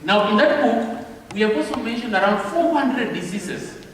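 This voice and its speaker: A man with a Kenyan accent giving a training talk at a convention, speaking slowly and deliberately and checking in with the audience. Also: very high voice, lively intonation, a bit bright, slight background noise. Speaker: publishing house representative. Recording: echoey hall recorded from far off.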